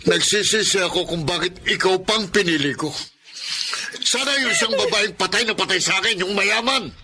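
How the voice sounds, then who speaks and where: A man playing a violent, abusive, contemptuous drunkard husband in a radio drama, snarling and shouting insults. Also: high voice, moderate pace, crowd noise, lightly acted. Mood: angry, contemptuous.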